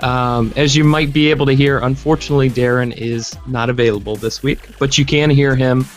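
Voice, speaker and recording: A man hosting a podcast in casual chat, his voice hoarse, upbeat and joking. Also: medium pitch, steady tone, a little fast, soft background music. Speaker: podcast host.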